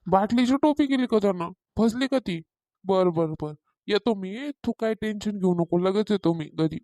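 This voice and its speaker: A woman's voice: mid-range voice, normal speed, dark tone, no echo, clean studio sound, natural voice. A woman voicing a stern, irritable farmer father in a cartoon, with a rural Maharashtrian accent and a blunt manner. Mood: matter-of-fact. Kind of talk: Marathi cartoon comedy dialogue.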